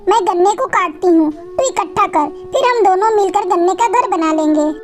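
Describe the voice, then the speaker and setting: A woman voicing a gentle, devoted female bird in a cartoon moral story, speaking in an earnest, emotive way. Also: very high voice, moderate pace. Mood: resolved, tender. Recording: music behind the voice.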